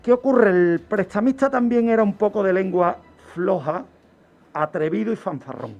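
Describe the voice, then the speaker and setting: A man tells true-crime history on radio in a didactic way, with dramatic pauses and rhetorical questions. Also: high-pitched, creaky. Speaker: criminologist, radio segment contributor. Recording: dull lo-fi radio recording with background music.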